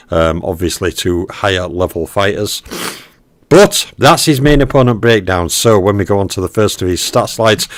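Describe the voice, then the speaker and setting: A man's voice: lowish voice, dry recording. A man hosting a boxing history channel, talking through a fighter's record in a casual, filler-heavy way with lots of okays and ums. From Western Europe. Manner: greets viewers in live chat. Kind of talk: casual narrated stat breakdown.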